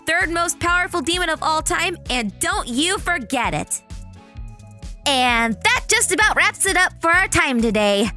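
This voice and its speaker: A woman doing scripted narration for a video, with a dramatic, animated storytelling delivery. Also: sits high.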